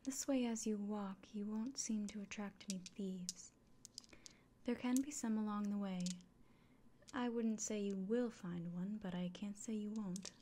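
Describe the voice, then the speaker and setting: A woman playing a friendly fantasy innkeeper in a soft, breathy, often whispered ASMR roleplay, speaking gently and without hurry. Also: medium pitch, noisy background, natural voice. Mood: quietly proud. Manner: gentle, unhurried, caring. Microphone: close microphone.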